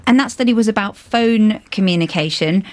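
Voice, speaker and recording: A woman's voice: medium pitch, a little fast, no echo. A woman hosting a programme, presenting scripted material and putting questions to a guest. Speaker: podcast host.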